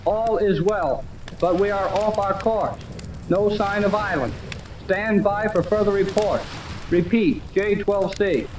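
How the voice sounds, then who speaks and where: A young actor with an American accent playing a plucky, defiant lad in a 1930s radio serial, brash, slangy and stubborn. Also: male voice, sits high, takes it slowly. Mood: businesslike. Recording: noisy, distant old radio recording.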